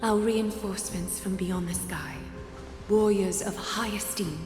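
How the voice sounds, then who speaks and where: An actress playing a stately priestess-leader in a fantasy game, formal, measured and regal as she presides over a ritual. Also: medium pitch, lightly acted. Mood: solemn, ceremonial. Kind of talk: scripted fantasy game voice acting. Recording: reverberant hall echo over music.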